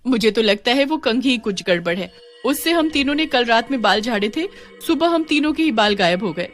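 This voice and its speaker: A woman's voice: on the high side, lively intonation, fairly quick, faint music behind, close to an everyday voice. A woman voicing an indignant, distressed young village wife in a cartoon horror story, with dramatic, complaining delivery. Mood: alarmed. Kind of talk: acted cartoon dialogue.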